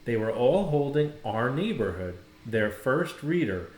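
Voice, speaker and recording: A man narrates a children's storybook like a teacher reading to a class. His delivery is expressive, with wide swings in pitch. The room adds clear echo. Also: a little slow. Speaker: educator reading a picture book aloud. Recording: echoey room.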